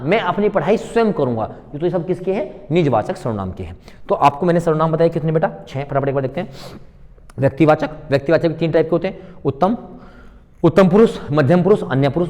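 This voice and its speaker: Higher-pitched male teacher in a reverberant room. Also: darkish tone. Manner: instructional, repeats key points, asks and answers own questions; informal, familiar address to students. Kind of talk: classroom grammar lecture with worked examples. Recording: long hall-like reverb on voice.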